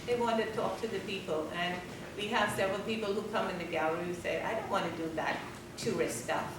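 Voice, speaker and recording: A woman's voice: mid-range voice, steady tone, fast talker. Passionate woman in an echoey hall. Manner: earnest, passionate, conversational with many "you know"s. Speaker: art gallery owner giving a public talk. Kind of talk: informal public talk and Q&A. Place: gallery event space with an audience. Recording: echoey room, far from the mic, audible background noise.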